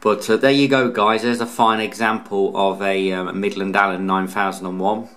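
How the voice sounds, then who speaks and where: A man's voice: lowish voice, level tone, normal speed, a bit bright. A man talking casually and informally through a hands-on product review, explaining as he goes with some hesitation. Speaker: radio hobbyist reviewer, channel host. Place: indoors, off camera beside a tabletop radio.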